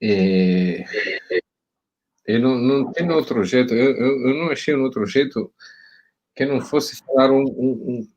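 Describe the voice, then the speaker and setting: A man's voice: medium pitch, moderate pace, long pauses, a little mellow. A middle-aged man from Italy speaking Portuguese with an Italian accent, giving a hesitant academic talk over a video call with many fillers and restarts. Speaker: guest professor.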